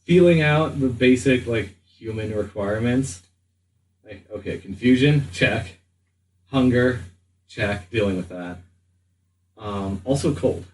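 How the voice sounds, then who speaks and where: A man improvising narration as the game master of a tabletop game, often pausing mid-sentence. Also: medium pitch. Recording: noisy room, distant mic.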